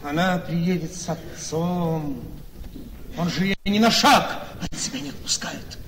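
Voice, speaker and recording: High-voiced scheming handsome gentleman. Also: lively intonation, unhurried. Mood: businesslike. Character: calculating, self-satisfied handsome gentleman scheming for a fortune. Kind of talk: theatrical radio play dialogue. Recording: noisy, reverberant hall-like radio play recording.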